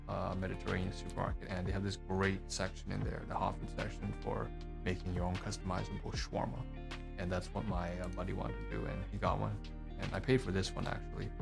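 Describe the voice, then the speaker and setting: A man with a deep, gravelly voice talks his way through a casual, digressive vlog. He is chatty and pokes fun at himself as he goes. Music plays clearly underneath him. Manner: chatty, digressive, self-mocking. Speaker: vlogger reviewing receipts. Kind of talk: casual rambling monologue.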